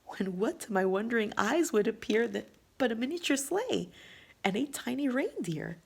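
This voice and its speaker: A woman with a breathy, husky voice, often near a whisper, reading aloud in a hushed, intimate, unhurried and expressive storytime style. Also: a little high. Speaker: storyteller reading a holiday poem.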